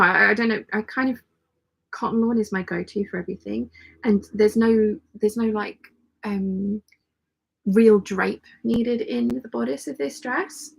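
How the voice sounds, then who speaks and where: Female livestream host, very creaky and husky, croaky delivery, loose chatty rambling style. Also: medium pitch, poor audio. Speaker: host of a live sewing hangout. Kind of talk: casual livestream chat, reading out viewer comments.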